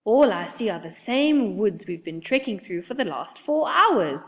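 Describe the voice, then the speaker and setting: Lady doing a sulky nervous bigfoot kid, squeaky n whiny, pitch jumps around, storybook. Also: big pitch swings, unhurried, fairly bright tone. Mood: uneasy. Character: awkward, anxious, sulky young bigfoot boy, huge for age.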